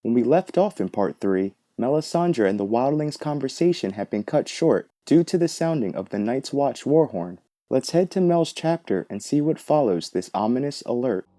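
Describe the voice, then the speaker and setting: A man's voice: mid-range voice, expressive, moderate pace, hardly any pauses, dark tone. A man hosting a fan book-analysis video, explaining the story in an earnest manner.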